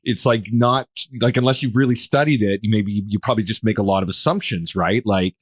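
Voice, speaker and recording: Low-voiced man hosting a casual discussion. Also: husky, no room sound, clean studio sound. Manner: halting, rambling, often restarts phrases. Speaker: podcast host. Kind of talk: casual discussion, posing questions.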